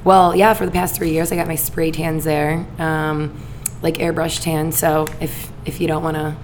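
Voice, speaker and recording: A raspy-voiced woman co-hosts a casual podcast in a low, flat tone. She chats loosely and leans on "like" and "um" between thoughts. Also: moderate pace, a little hiss or hum. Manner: chatty, filler-heavy with lots of "like". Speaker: podcast co-host.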